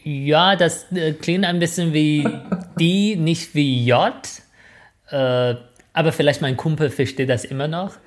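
A woman's voice: deep voice, steady tone, measured pace. A woman whose first language is Mandarin, speaking with a Chinese accent as a podcast co-host, hesitant, with many filler sounds. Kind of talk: casual podcast chat.

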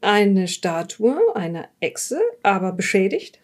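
A woman acts out a composed female official in an improvised radio play. She probes in a measured, methodical way, with a voice of medium pitch, checking details against her notes. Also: a little mellow. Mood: questioning, checking. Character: composed, probing female official questioning a witness from case notes. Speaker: game partner in an audio-drama let's play.

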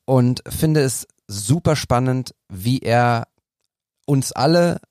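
A man's voice: medium pitch, animated, takes it slowly, a little mellow, dry recording, studio quality. A man hosting a podcast, chatty and a bit jokey, sounding a little tired late in the evening. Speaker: podcast host.

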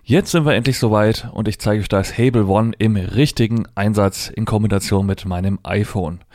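A man's voice: fairly low pitch, normal speed, a little mellow, no echo, clean studio sound. A man presenting a hands-on product demonstration, explaining informally step by step and thinking aloud as he goes. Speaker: presenter demonstrating assistive technology.

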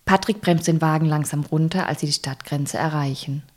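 A woman's voice: low voice, fairly quick, noisy background. A woman narrating an audio drama from a script.

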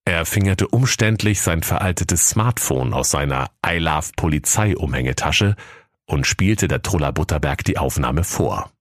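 A man's voice: rumbling low, expressive, moderate pace, dry recording, studio quality. A man narrating a scripted comic fairy tale as a mock-solemn storyteller.

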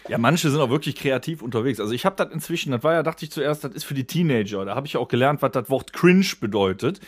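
A man's voice: mid-range voice, lively intonation, fast talker, breathy edge. A man hosting a podcast in casual, joking chat, with a crude, slangy way of talking. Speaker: podcast host.

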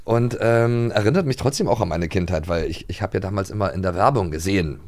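A man's voice: sits low, fast, a little mellow. A man chatting casually and jovially as a podcast co-host. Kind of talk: casual chat about audio dramas.

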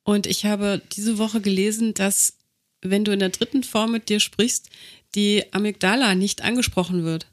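A woman's voice: mid-range voice, fairly even intonation, normal speed. A woman co-hosting a podcast, asking questions and explaining things in a casual conversational way. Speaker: co-host.

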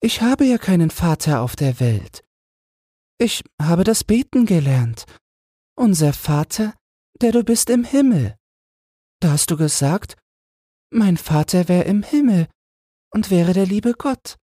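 An innocent little boy played by a grown man talks in a high, husky voice. He is impatient and childlike, in a fairy tale read aloud. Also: big pitch swings, measured pace, long pauses, mellow, dry recording, clean studio sound, full character voice.

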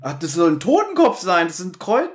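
A man's voice: high voice, huge pitch swings, brisk. A man co-hosting a podcast, chatting and bantering casually about audio dramas. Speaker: podcast host.

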